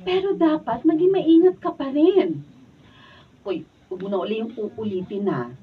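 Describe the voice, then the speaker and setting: Anxious high-voiced Filipino wife, distant mic. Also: female voice, moderate pace, breathy edge. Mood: relieved, chiding. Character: anxious, scolding wife pleading for peace. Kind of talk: Filipino radio drama acting. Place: radio drama recording studio. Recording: dull, distant behind-the-scenes studio video.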